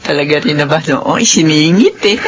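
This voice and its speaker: An actress with a Filipino accent playing a devoted middle-aged mother in a radio drama, earnest and gentle in manner. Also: sits low, animated, normal speed. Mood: gently reluctant. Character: devoted, gently admonishing mother of teenage children. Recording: radio recording with faint background noise.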